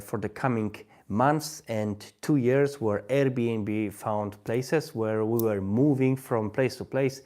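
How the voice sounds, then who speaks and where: A man with a Polish accent, a native Polish speaker, hosting a live stream in English and talking in a halting way with many restarts as he searches for words. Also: mid-range voice, dark tone, smooth. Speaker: language-learning livestream host.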